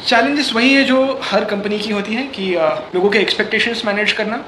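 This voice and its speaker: A man's voice: sits high, a little fast. A young man with an Indian accent, a filmmaker answering interview questions conversationally and mixing in English. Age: young adult. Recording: echoey room with clear background noise.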